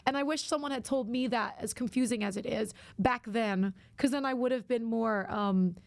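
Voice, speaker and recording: A woman's voice: fairly high pitch, moderate pace, gravelly, no echo. A young woman streaming a game, chatting casually and rambling with lots of fillers, her voice a little worn out. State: slightly worn-out voice. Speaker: variety streamer. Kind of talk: casual livestream chat while gaming.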